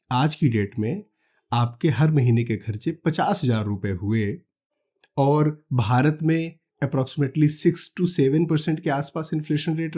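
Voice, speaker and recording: A man from India calmly explaining retirement money planning step by step, talking the listener through numbers and examples. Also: fairly high pitch. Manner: calm, practical, walks through numbers step by step. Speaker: finance educator. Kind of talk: explanatory talk to camera.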